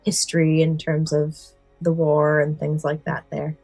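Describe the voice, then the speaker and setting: Female podcast host, notably low voice. Engaged and explanatory, part scripted, part conversational, recorded dry. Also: completely flat delivery, unhurried, darkish tone, no room sound, faint music behind. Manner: enthusiastic, explanatory. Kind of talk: podcast discussion, part prepared book summary.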